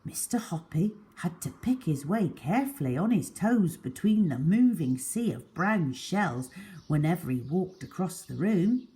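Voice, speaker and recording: A woman storyteller reading a children's story aloud in an expressive, deliberate and emphatic way. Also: on the low side.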